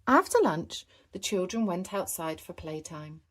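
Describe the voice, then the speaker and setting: A woman storyteller reads a picture book to children. Her voice sits slightly low and moves with very lively intonation and expressive emphasis. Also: a little slow. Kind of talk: animated children's storybook narration.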